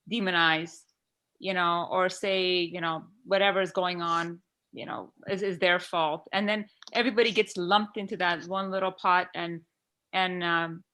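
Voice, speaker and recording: Woman from Iran, podcast panelist, bright tone, heartfelt rambling talker on a video call. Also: mid-range voice. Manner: heartfelt, rambling with many fillers. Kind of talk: earnest discussion on a video call.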